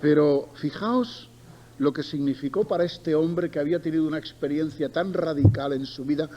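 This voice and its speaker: A man's voice: fairly high pitch, moderate pace, lo-fi sound. A middle-aged man from Barcelona with a Spain accent, preaching a sermon with emphatic repetition and conversational asides to his listeners. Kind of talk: sermon with Bible reading and storytelling. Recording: noticeable background noise.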